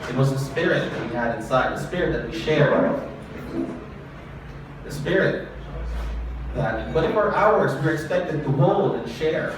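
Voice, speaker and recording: A man's voice: on the high side, animated, a little slow, long pauses. A man performing spoken word poetry live, wry and anxious, with swearing and repeated refrains. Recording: roomy echo, far from mic, ambient noise.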